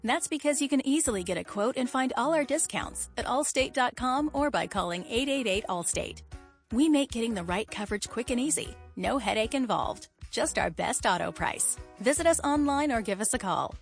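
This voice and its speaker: American woman reading a commercial over soft music. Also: on the high side, lively intonation, moderate pace, creaky. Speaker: advertising voice-over. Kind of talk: scripted commercial narration. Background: faint music.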